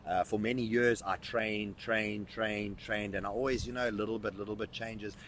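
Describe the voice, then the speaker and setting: A man's voice: lowish voice, steady tone, moderate pace, fairly bright tone, a little hiss or hum. A man in his thirties, a fitness coach, answering interview questions emphatically and often repeating words as he thinks. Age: 30s.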